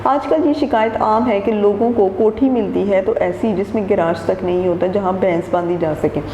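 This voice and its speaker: A woman's voice: mid-range voice, normal speed, warm tone. A woman teacher reading a textbook passage aloud and explaining each sentence again in simpler words. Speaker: Urdu teacher. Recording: echoey room with long reverb and faint noise.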